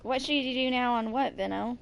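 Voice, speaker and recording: A woman's voice: a little high, a little fast, gravelly. A woman in her twenties chatting casually and informally with stream viewers, rambling as she goes. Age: 20s. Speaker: livestreamer.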